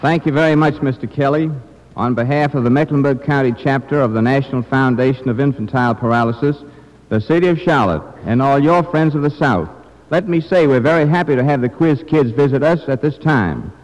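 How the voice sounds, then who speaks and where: A man's voice: medium pitch, brisk, a little mellow, long reverb tail, low-quality recording. A man giving a formal, oratorical welcome speech as a mayor on an old radio broadcast. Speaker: mayor, special guest.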